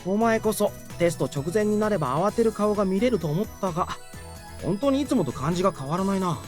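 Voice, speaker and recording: A man's voice: sits high, lively intonation, racing, soft background music. A man voicing a casual, teasing teenage schoolboy classmate in a Japanese visual novel.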